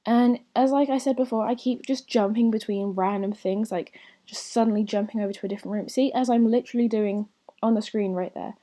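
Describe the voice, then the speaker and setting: A woman giving a casual, rambling voiceover about her building game, slightly croaky as she gets over losing her voice, with soft whispery asides. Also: fairly high pitch, steady tone, a little fast. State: slightly croaky, recovering from lost voice. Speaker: gaming channel host.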